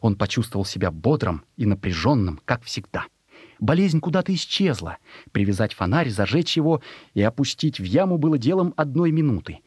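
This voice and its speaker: A man narrating a children's story in an expressive, measured, suspenseful dramatic reading. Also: medium pitch, husky, dry recording, clean studio sound.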